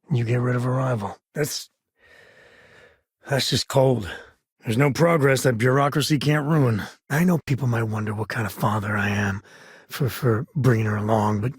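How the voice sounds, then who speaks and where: A man's voice: medium pitch, breathy edge, no room sound, studio quality, lightly acted. An actor playing an easygoing, wry space-frontier ranger and protective father in a video game, with folksy, informal speech. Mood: reflective.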